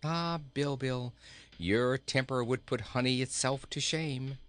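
Man playing a high-pitched, jolly storybook king. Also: slow pace, smooth, lightly acted. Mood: jolly, teasing. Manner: chuckling, singsong, cheery. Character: jolly, teasing, irrepressibly cheerful storybook king. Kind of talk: storybook character voice.

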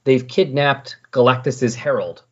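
A man's voice: mid-range voice, measured pace, breathy edge. A man hosting a podcast in casual chat, rambling and correcting himself as he recaps, on a dull, lo-fi recording. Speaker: podcast host. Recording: dull, lo-fi sound.

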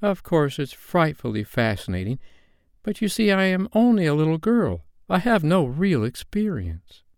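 A man plays a gentle little girl in a storybook, lifting his pitch high. The manner is prim, earnest and motherly, with lilting movement in the voice and a dry, close sound. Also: sing-song, normal speed, smooth, dry recording. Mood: hesitant, modest.

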